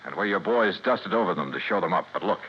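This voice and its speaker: Cool American private investigator, low lively voice, brisk commands, hardboiled radio drama, dull vintage sound. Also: male voice. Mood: confident. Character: cool, shrewd, confident male insurance investigator. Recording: dull, noisy old radio recording.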